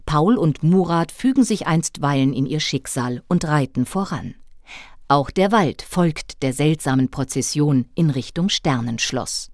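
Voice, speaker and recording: Female storyteller for children's audio play, low voice, dry close sound. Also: a little mellow, no echo. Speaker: narrator.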